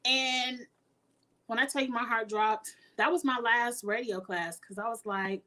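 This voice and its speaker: A woman's voice: steady tone, a little slow, sharp tone. A woman, chatting casually with her viewers as a YouTuber, laughing often and sounding earnest and encouraging. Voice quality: high-pitched voice. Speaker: YouTuber answering live chat.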